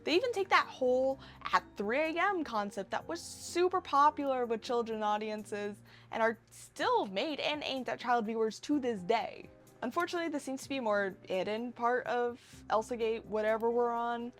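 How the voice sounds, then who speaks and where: A woman's voice: a little high, moderate pace, a bit bright, soft background music. A woman in her twenties narrating a casual scripted video essay commentary, wry and given to sarcastic asides. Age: 20s.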